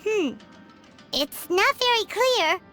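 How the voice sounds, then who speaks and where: Tiny childlike fairy sidekick, extremely high squeaky voice, swooping pitch, timid, excitable, video game dub, loud music. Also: female voice, slow, full character voice. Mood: curious. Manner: squeaky, childlike, refers to self in third person.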